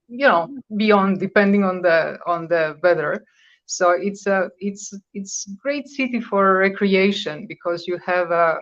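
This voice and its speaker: Croatian-born woman in her fifties, muffled. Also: on the low side. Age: 50s. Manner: conversational, searching for words, with hesitations. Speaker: guest. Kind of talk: radio interview.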